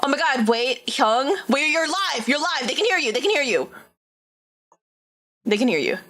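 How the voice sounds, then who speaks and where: A woman's voice: high voice, sing-song, normal speed, fairly bright tone. A woman VTuber chatting casually on a livestream, bubbly, laughing often and swearing casually. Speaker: VTuber streamer.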